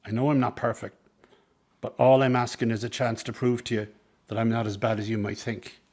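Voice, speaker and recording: Smooth-talking, scheming circus man, played by an actor. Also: medium pitch, flat delivery, a little fast, darkish tone, close to an everyday voice. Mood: earnest, coaxing. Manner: ingratiating, persuasive, coaxing. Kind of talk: dramatic radio play dialogue.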